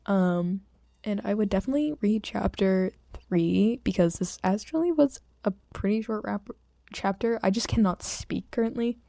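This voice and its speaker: Mellow woman reader with a sore throat. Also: mid-range voice, moderate pace, vocal fry, dry recording, clean studio sound. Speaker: amateur audiobook reader. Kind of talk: story narration and casual chat with listeners.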